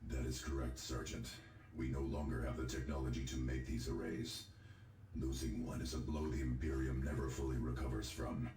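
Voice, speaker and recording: An actor playing a grave, formal military commander giving stern, measured mission briefings in a video game. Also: male voice, extremely low pitch, fairly even intonation, a little mellow. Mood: grave approval. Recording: echoey game audio with clear noise.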